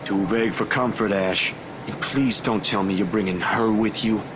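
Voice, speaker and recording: A man's voice: on the low side, steady tone, moderate pace, noisy background, close to an everyday voice. An actor playing a laid-back young man in a visual novel game, an uneasy but loyal friend who talks informally and a little hesitantly. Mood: skeptical. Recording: music behind the voice.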